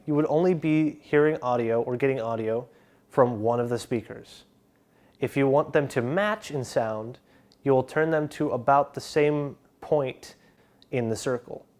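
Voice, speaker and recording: A young adult man presenting an instructional tutorial, explaining step by step in a measured way. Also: medium pitch, darkish tone. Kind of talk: instructional narration of an equipment tutorial.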